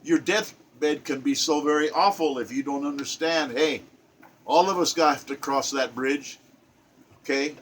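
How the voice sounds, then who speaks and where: A man's voice: on the high side, unhurried, slight background noise, rough recording. A man in his seventies speaking with passion and emphasis, often repeating phrases for stress as he introduces a poem. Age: 70s. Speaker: poetry reader. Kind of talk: impassioned spoken commentary and poetry reading.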